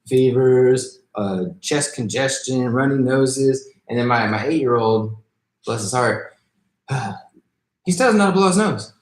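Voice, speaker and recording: A joking, easygoing man in his thirties hosts a casual talk podcast and laughs through his stories. His voice sits at a middle pitch. The room behind him is echoey. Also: lively intonation, unhurried. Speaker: podcast host, realtor. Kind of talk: casual chat.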